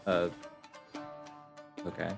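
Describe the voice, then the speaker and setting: A man streaming a game, giving casual commentary in short, offhand reactions. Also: very slow. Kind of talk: casual live gameplay commentary. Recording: game music clearly behind voice. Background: video game music.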